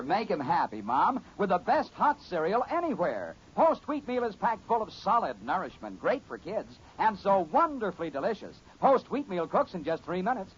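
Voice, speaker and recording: An American man reading a scripted 1950s radio commercial with a husky voice. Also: high-pitched. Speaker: commercial announcer. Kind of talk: 1950s radio advertising pitch. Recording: muffled old radio recording.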